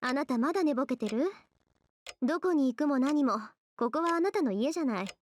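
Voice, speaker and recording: A woman playing a sharp-tongued, composed teenage girl in a Japanese visual novel, brisk, scolding and haughty. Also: high-pitched, lively intonation, slight background noise. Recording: background music under game dialogue.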